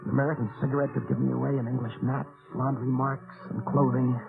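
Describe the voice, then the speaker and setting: An actor playing a guarded undercover spy in an old-time radio drama, restrained and terse. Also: male voice, mid-range voice, little pitch movement, quick pace, vocal fry, over music, natural voice. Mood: grim realization. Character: guarded undercover American spy posing as German lieutenant. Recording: noisy, tinny old radio recording.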